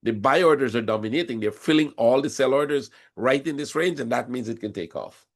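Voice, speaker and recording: A man with a cold and a hoarse voice, explaining chart patterns step by step during a live trading session. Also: mid-range voice, fairly quick. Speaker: forex trading instructor. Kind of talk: live trading room commentary.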